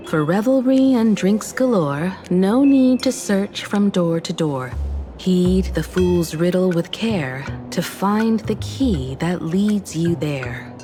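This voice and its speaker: A woman voicing a composed, wistful young woman in a game story, talking in a measured, reflective way. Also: on the low side, expressive, mellow, soft background music. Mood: musing. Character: composed, wistful young woman, former scrappy martial artist student. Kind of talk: scripted game story dialogue.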